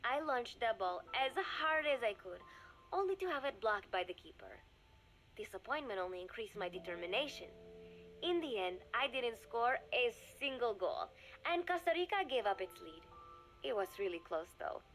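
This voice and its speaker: An actress voicing an earnest young woman reading her diary aloud in a video game, calm and reflective. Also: fairly high pitch, moderate pace, soft background music, low-quality recording. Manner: calm, reflective, softly spoken. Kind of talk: game audio diary narration.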